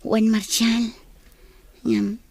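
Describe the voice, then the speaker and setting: A woman's voice: fairly high pitch, little pitch movement, extremely slow, vocal fry, a little mellow, breathy edge, no room sound, heavily acted. An actress playing a wistful, hesitant young female student in a radio drama. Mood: hesitant, troubled. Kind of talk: Ilocano radio drama acting.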